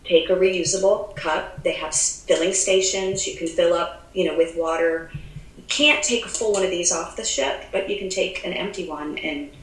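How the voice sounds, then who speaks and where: A woman's voice: lowish voice, normal speed. An American woman chats about travel in a loose, laughing way, often restarting her sentences. Her voice echoes around the room, with a faint hiss of background noise behind her. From United States. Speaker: travel advisor, podcast guest. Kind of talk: casual podcast chat.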